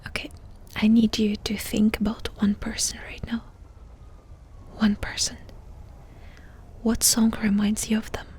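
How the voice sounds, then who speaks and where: A woman doing ASMR in a breathy whisper, softly and intimately asking questions with pauses between them. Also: a little high, monotone. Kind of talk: whispered ASMR personal-attention roleplay.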